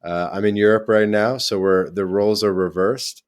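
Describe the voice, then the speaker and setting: A man's voice: lowish voice, fairly even intonation, moderate pace. A man hosting a podcast, chatting casually and loosely with a remote guest. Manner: chatty, loose, with fillers and restarts. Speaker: podcast host. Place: in Europe, remote.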